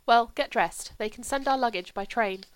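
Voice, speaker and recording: A woman playing a sullen drunk young gentleman. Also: on the high side, smooth, no room sound, voice pushed up, natural voice. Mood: restless. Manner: petulant, rushed and raised. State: still a little drunk.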